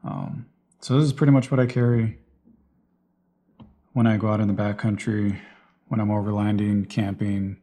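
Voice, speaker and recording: A man's voice: fairly low pitch, flat delivery, normal speed, pauses often, warm tone. A man giving a casual, unscripted walkthrough of his gear in a plain, explanatory way, like a vlogger. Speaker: vlogger presenting personal radio gear.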